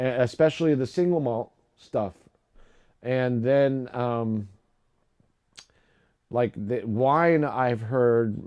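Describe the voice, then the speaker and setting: Rambling low-voiced man on a livestream. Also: mellow. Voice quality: low voice today. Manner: rambling, many fillers and restarts. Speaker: live stream host. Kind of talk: casual livestream chat.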